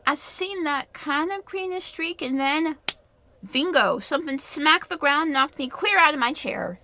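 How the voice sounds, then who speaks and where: A woman's voice: high-pitched, expressive, unhurried, bright, forceful tone, slight background noise, exaggerated voice. A woman playing a rambling, folksy farm woman in a 1930s-style radio play, with rustic turns of speech. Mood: excited recounting.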